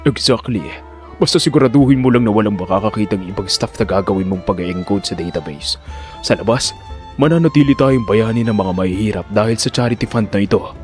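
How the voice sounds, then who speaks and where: A man's voice: on the low side, brisk, darkish tone, close to an everyday voice. Man voicing a smug, scheming hospital director over music.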